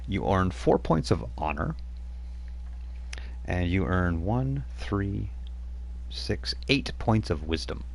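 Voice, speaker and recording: A man's voice: deep voice, sing-song, slow pace, gravelly, long pauses, a little hiss or hum, low-quality recording. A man running a tabletop role-playing game, narrating scenes and patiently explaining rules. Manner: explains rules patiently, stops to check notes. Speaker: game master. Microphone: streaming mic.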